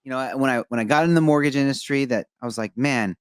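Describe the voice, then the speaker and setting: A young adult man from Egypt hosts a podcast and talks in an enthusiastic, rambling rush, full of fillers and praise. His voice sits at an ordinary male pitch. The recording is dry and close, with no room sound. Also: fast talker, clear, resonant tone, dry recording. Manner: animated, effusive, rambling with many fillers. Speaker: podcast host. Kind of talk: enthusiastic interview chat.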